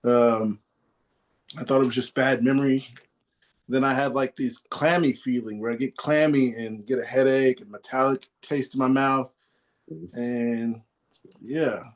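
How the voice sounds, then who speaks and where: A man's voice: mid-range voice, steady tone, a little slow, long pauses. Man in his thirties telling stories. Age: 30s. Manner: rambling storytelling with quoted asides. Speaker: podcast host. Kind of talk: casual chat.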